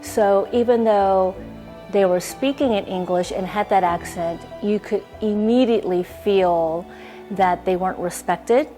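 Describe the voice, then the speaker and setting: A woman's voice: medium pitch, unhurried, mellow. An American-accented woman giving a reflective personal account as an interviewee. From United States, Taiwanese-born. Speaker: documentary interviewee. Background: music behind the voice.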